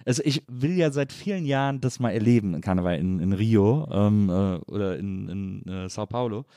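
A man's voice: on the low side, lively intonation, normal speed, dark tone, no room sound, studio quality. A man hosting a podcast, talking casually and feeling his way through phrases with repeated words. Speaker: podcast host. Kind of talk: casual conversational interview.

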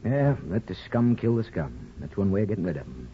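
An actor playing a gruff but soft-hearted middle-aged small-town father in a 1950s radio drama. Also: low-pitched, sing-song, brisk, slight background noise. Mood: earnest, homely. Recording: muffled, thin 1950s radio with music.

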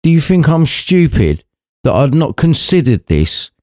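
A man voicing a childlike male Christmas spirit, a calm, sassy and knowing guide, in an irreverent storybook retelling. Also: on the high side, a little slow, pitched above the performer's own voice.

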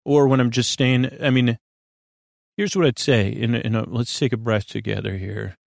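A man hosting a podcast, delivering a meandering, halting bedtime monologue in a reassuring, gently encouraging way, often trailing off and doubling back. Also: mid-range voice, fairly even intonation, vocal fry, dry recording, clean studio sound. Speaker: podcast host. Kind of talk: rambling bedtime monologue meant to help listeners sleep.

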